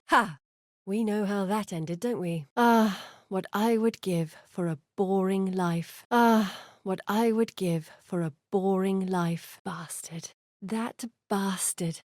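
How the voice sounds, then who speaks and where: Grave, close-recorded fantasy game heroine voiced by an actress. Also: female voice, mid-range voice, dark tone, no room sound, natural voice. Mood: wry, uneasy. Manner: measured, earnest, dramatic delivery. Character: Zana, grave adult woman guide to exiles, haunted yet wry. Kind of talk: scripted fantasy game voice acting.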